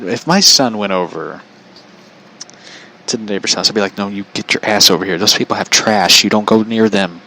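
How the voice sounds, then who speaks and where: An American man with a fairly low but bright, forward voice tells a story off the cuff. He rambles casually and talks bluntly, in loose slang peppered with swearing. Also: a little hiss or hum, rough recording. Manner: chuckles often while talking. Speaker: show host and storyteller.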